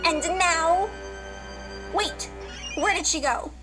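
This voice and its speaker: A performer playing a slow, high-pitched villainous witch. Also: music in the background. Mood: gloating. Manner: theatrical, menacing. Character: villainous candy witch, gloating and scheming. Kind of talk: children's radio play acting.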